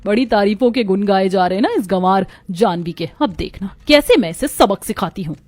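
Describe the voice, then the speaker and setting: A woman's voice: mid-range voice, animated, brisk, darkish tone, dry recording, lightly acted. A woman voicing a vain, spiteful young modern daughter-in-law in a dramatic Hindi moral story cartoon. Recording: music behind the voice.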